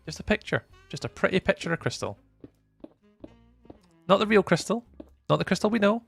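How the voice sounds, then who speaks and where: Gravelly Scottish streamer, teasing and chatty. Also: male voice, high voice, animated, a little fast, faint music behind. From east coast of Scotland. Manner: chatty and teasing, jumps between loud bursts and quiet muttering. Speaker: livestreamer. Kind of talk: casual live-stream chat to viewers.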